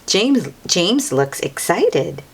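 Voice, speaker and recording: A woman's voice: lowish voice, very animated, drawn-out words, slightly rough. A woman hosting a children's read-aloud, reading a picture book in a teacherly way, repeating and stressing key words and talking directly to the young listener.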